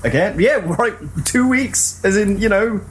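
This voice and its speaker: Animated man in casual banter. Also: high-pitched, a little slow, slight background noise. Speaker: podcast co-host.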